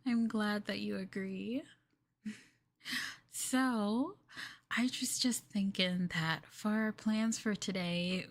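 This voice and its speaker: Woman acting a slow, cooing, clingy yandere girlfriend. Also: medium pitch. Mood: sweet, doting. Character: sweetly clingy, possessive yandere girlfriend doting on lover. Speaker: ASMR roleplay streamer. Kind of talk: ASMR girlfriend roleplay.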